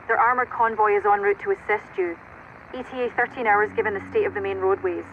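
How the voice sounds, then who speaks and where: An actress playing an efficient military operations officer, giving precise, businesslike briefings in a video game. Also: female voice, mid-range voice, fairly even intonation, fast talker, close to an everyday voice. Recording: dull radio-like sound, noisy background.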